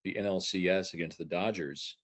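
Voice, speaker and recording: A man's voice: deep voice, fairly even intonation, fairly quick. A man in his thirties chatting casually about sports as a podcast guest. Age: 30s. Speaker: podcast guest, stadium announcer. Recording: dull lo-fi audio.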